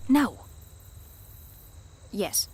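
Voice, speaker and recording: A woman's voice: extremely slow, a little mellow, a little hiss or hum. A woman with an American accent acting a sarcastic, bossy stakeout partner in a radio drama. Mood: sarcastic, wry. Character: sharp-tongued, no-nonsense woman on a stakeout.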